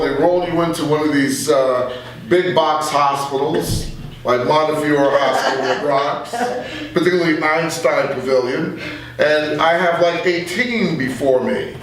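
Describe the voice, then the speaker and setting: A man's voice: fairly high pitch, slight background noise. An older man chatting casually about his views, opinionated and given to anecdote. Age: older, 60s or above. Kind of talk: casual political discussion. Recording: echoey room, distant from mic.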